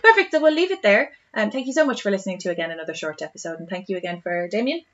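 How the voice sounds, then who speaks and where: A woman's voice: medium pitch, animated, very fast, fairly bright tone, dull audio. An Irish woman co-hosting a podcast in casual chat, with an exclamatory, storytelling manner. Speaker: podcast co-host.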